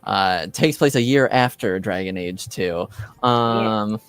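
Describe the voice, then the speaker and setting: A man narrating a tabletop game as game master, pausing often as he works out the scene. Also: lowish voice, smooth, dry recording, soft background music. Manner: hesitant, pausing delivery.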